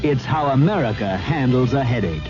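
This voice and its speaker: A man announces a radio commercial in a middle pitch. His scripted delivery rises and falls widely. Music is clear behind him and the old recording is muffled. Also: lively intonation, moderate pace. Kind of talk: scripted radio advertisement read.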